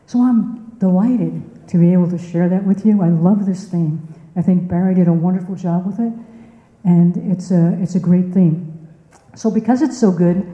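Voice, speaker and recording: A woman's voice: mid-range voice, mellow. A woman giving an informal speech to a club in a meeting room, conversational, putting questions to listeners and repeating words for emphasis, with echoey, muffled sound. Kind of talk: informal presentation to a club audience.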